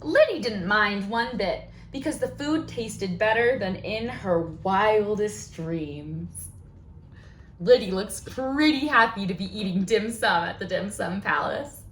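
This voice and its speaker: A woman's voice: mid-range voice, animated, slow pace, a bit bright, a little hiss or hum. A woman hosting storytime for young children, reading aloud and talking in an exaggerated, sing-song way. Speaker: children's librarian, storytime host. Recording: echoey room.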